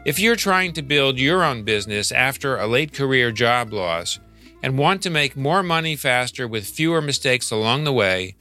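Bright-voiced male podcast host. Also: mid-range voice. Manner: clear and measured, reads promos. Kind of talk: scripted intro and interview questions.

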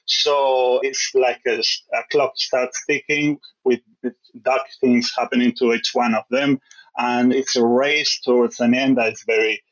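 A man's voice: medium pitch, vocal fry, fairly bright tone, dull audio. A man from Spain with a Spanish accent, a guest author answering interview questions over a remote call, hesitant and full of fillers as he searches for English words.

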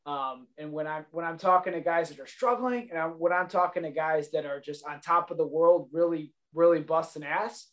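A man's voice: on the high side, moderate pace, hardly any pauses, a bit bright. A man hosting a podcast, talking in a loose, profane, buddy-like way with lots of fillers. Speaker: podcast host, Marine veteran. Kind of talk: casual podcast chat.

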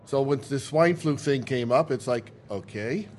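A man's voice: mid-range voice, moderate pace, a little mellow. A middle-aged man, a convention organizer, chatting casually in an interview and rambling in a folksy way with casual swearing.

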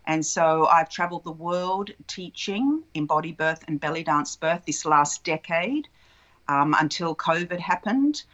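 Low-voiced woman telling her story. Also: measured pace, a bit bright, highs cut off. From Australia. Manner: flowing, reflective storytelling. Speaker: guest, childbirth educator. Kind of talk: relaxed interview conversation.

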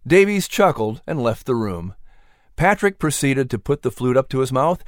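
Audiobook narrator, scripted children's story, medium pitch, lively intonation, dry sound. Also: moderate pace, no echo.

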